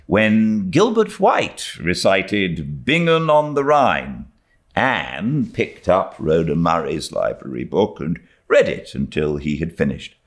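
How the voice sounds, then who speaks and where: A British male audiobook narrator with a low voice. He reads literary prose at an unhurried pace, with lively, sweeping intonation that shapes each phrase. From Britain. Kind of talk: expressive literary story narration.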